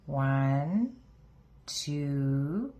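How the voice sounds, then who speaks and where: A woman voicing a friendly female rabbit who gently guides children through yoga in a storybook. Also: rumbling low, big pitch swings, drawn-out words, muffled recording, voice pushed down, exaggerated voice. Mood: calm, instructive.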